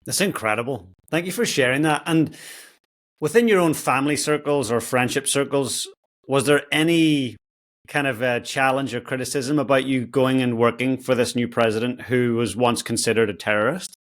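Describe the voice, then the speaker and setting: A man's voice: mid-range voice, moderate pace. A man hosting an interview, encouraging his guest and asking long open questions. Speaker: podcast host.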